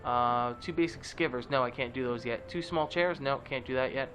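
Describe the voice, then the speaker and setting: A man's voice: medium pitch, normal speed, a bit bright. A man with a stuffy, nasal voice giving casual, joking commentary as a let's play host. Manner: chatty, joking, with many fillers.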